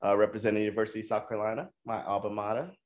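A man's voice: on the low side, fairly even intonation, racing. A man hosting a sports interview podcast, upbeat and joking as he reads out his guest's achievements. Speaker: podcast host. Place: indoor room with brick wall.

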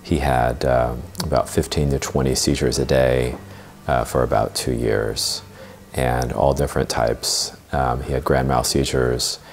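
A man in his forties telling his family's story in an interview, seated on a living room sofa, speaking steadily with many pauses. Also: extremely low pitch, slight background noise. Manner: measured, steady telling with frequent uh fillers. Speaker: parent interviewee. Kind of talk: interview testimonial.

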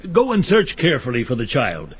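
A man's voice: mid-range voice, huge pitch swings, a little fast, slightly rough. An actor playing a scheming, falsely pious king in a dramatized Bible, sly and commanding. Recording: dull audio, faint background noise.

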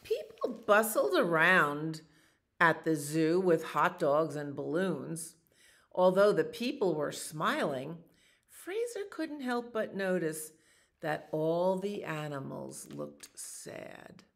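An older woman in her sixties reads a children's picture book aloud. Her voice is low with a noticeable creak, and she takes her time, adding casual explanations as she goes. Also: sing-song. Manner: unhurried, explaining pictures to young listeners. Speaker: children's storytime reader. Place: small library children's room.

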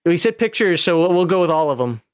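Middle-aged guy hosting a live stream, a bit higher voice, laughs a lot, dry close sound. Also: lively intonation, fast talker, clear, resonant tone, no echo. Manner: laughs often, reads chat messages aloud. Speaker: livestream host. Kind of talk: casual livestream chat.

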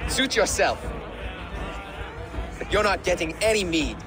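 Cheeky viking boy, high lively voice, game scene, loud music behind. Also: a little slow, crowd noise. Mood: cocky, teasing. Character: cocky, teasing Viking boy, older playmate Sigurd. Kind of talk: cinematic video game acting.

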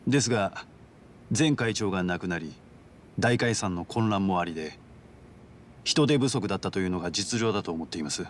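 Low-voiced, courteous yakuza underboss. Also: male voice, expressive, a little hiss or hum. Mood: composed, deferential. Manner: formal, courteous, measured business-like speech. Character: polite, calculating male yakuza underboss, coolly composed. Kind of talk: dramatic video game voice acting.

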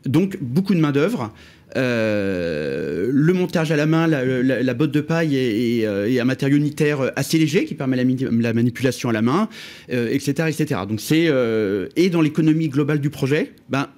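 A middle-aged man speaks into a studio microphone as a guest on a radio panel. He talks in a halting way, stopping and repeating small words before he finishes each thought. His voice sits at an ordinary pitch for a man. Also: lively intonation, a little mellow. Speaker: housing project manager. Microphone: studio mic on stand.

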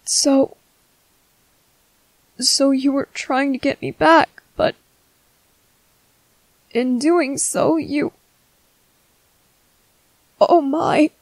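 A woman voicing a gentle, earnest young merman in a soft, halting, soothing ASMR roleplay. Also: high voice, fairly even intonation, dry recording, full character voice, poor audio. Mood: hesitant, concerned.

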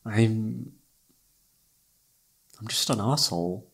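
A man playing a self-doubting, lovestruck best friend in an intimate ASMR roleplay, speaking softly and haltingly with tender hesitation. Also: fairly low pitch, vocal fry, husky, exaggerated voice. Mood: self-doubting, regretful. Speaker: amateur voice actor.

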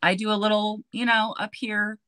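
A middle-aged woman with a deep voice, chatting casually and telling stories on a video call. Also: moderate pace, clean tone, no room sound, studio quality. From United States. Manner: chatty storyteller, animated, gestures while talking. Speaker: beauty influencer, guest. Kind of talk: casual chat on a livestream. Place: walk-in closet with shelves, indoors. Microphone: webcam on a video call.

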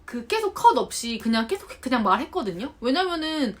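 A Korean woman in her thirties talking casually to camera, chatty and animated, acting out remarks she quotes. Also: sits high, fairly quick. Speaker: vlogger. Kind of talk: unscripted talk to camera. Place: home in Japan.

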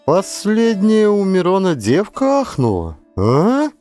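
A male actor voicing a surly, quarrelsome village thief, grumbling gruffly in an audiobook play. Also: high voice, wildly swooping pitch, takes it slowly, darkish tone, faint music behind, voice pushed up, heavily acted. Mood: astonished.

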